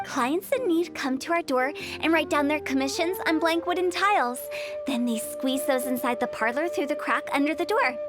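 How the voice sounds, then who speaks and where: Brief: woman playing a cheeky young undertaker in a game, very high voice, faint music. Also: moderate pace, lightly acted. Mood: scheming. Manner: cheeky, breezy sales pitch with giggles. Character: young female funeral parlor director, mischievous and playful.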